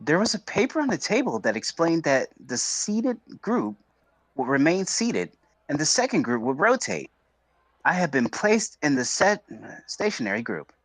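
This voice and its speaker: Male streamer narrator, mid-pitched, lively delivery, casual reading, dry close mic. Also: normal speed, no echo, rough recording. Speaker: streamer reading a visual novel aloud.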